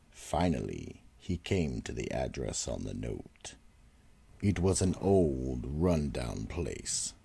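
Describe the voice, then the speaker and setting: Deep raspy guy narrating a spooky radio show, slow delivery, lively pitch. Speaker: narrator and host. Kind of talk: scripted horror narration.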